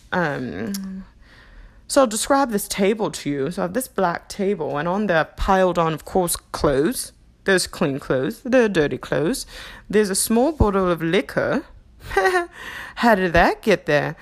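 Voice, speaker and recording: A woman doing a put-on Australian accent that keeps slipping into English, talking off the cuff in a rambling, self-mocking way in her apartment and laughing often. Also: mid-range voice. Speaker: podcast host. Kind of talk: impromptu stream-of-consciousness monologue.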